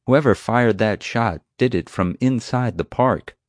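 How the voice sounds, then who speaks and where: A man voicing a concerned, practical father with a measured, matter-of-fact manner in an audiobook. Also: medium pitch, no echo, clean studio sound, pitched above the performer's own voice, natural voice. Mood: firm, reasoning. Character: concerned, level-headed father, a practical peacemaker. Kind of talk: audiobook character dialogue.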